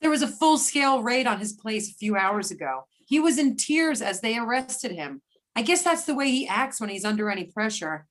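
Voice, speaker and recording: A woman reading a brisk, wry government agent in amateur readers theater, with everyday delivery. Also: on the high side, expressive, natural voice. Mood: matter-of-fact. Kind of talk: amateur readers theater over a remote call.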